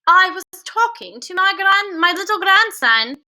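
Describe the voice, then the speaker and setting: A woman reading aloud plays a fussing, doting old grandmother, high and very bright in tone, gushing over her grandson in a storybook tale. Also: big pitch swings, measured pace, exaggerated voice. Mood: chatty. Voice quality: fond, doting. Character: loving elderly grandmother, fussing and warm.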